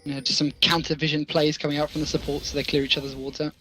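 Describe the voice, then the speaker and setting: A man's voice: fairly high pitch, level tone, fast talker, pushed and bright, soft background music, poor audio. A man giving live esports commentary, talking through the play conversationally and posing rhetorical questions.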